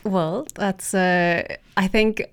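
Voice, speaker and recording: Slow, smooth-voiced woman. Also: mid-range voice, steady tone, dry recording, studio quality. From South Africa. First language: Afrikaans. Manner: halting, many fillers and restarts. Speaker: visiting artist, interview guest. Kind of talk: casual interview answers in English.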